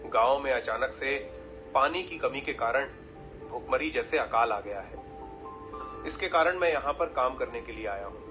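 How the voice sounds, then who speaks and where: A man's voice: medium pitch, moderate pace, music in the background, lightly acted. A man playing a humble, earnest young village husband in a cartoon, speaking respectfully.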